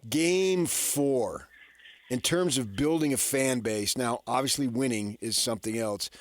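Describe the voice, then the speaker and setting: An American male sports radio host talks through his opinions like an animated storyteller. His voice sits at a middle pitch. The sound is dry and close, with almost no room on it. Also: unhurried, dry recording.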